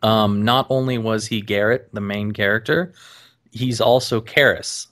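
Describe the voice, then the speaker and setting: Man, podcast host, low pitch, measured, hesitant delivery. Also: a bit bright. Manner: measured, many ums and restarts. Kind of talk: casual podcast chat.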